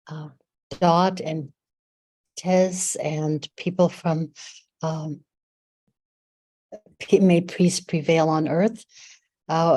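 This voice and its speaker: A woman speaking on a video call, halting and hesitant with many fillers. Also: on the low side, warm tone. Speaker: guest speaker. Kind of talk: casual video call remarks.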